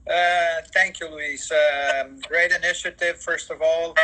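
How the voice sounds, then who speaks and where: Bright-voiced Portuguese man, higher-pitched. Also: steady tone, slow, a little hiss or hum, rough recording. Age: 50s. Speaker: guest, sports events general manager. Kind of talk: interview answers on a livestream.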